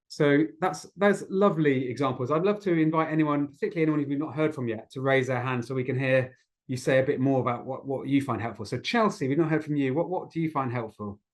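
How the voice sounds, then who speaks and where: Man, mid-range voice, online group host, encouraging, appreciative, facilitating discussion. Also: medium pitch. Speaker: host of an online community gathering. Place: online video call.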